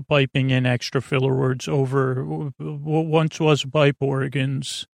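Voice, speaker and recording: A podcast host with a fairly high, very creaky male voice, recorded close and dry. He wanders through a bedtime monologue, piling up filler words and tangents. His manner is goofy and self-deprecating, never quite getting to the point. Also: no room sound. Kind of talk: rambling bedtime monologue to help listeners sleep.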